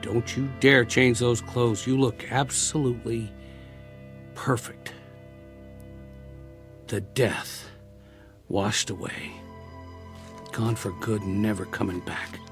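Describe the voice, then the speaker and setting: An actor playing a lonely, hopeful war survivor in a television drama, talkative and coaxing, with an American accent. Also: male voice, mid-range voice, fairly even intonation, faint music behind. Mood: awed, tender. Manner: talkative, coaxing, halting when moved.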